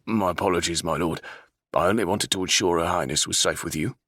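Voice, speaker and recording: Give me a man playing a wary, growling older captain, very deep and dry. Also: brisk, a bit bright, no echo. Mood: gruff. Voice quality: gruff, growling. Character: older gruff male ship captain, wary.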